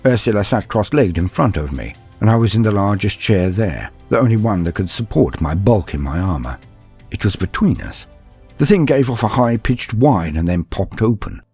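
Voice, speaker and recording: A man voicing an earnest young armored psyker apprentice, recounting his tale in solemn, deliberate, formal words as dramatic story narration. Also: fairly low pitch, animated, a little mellow, breathy edge, soft background music. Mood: tense, dramatic.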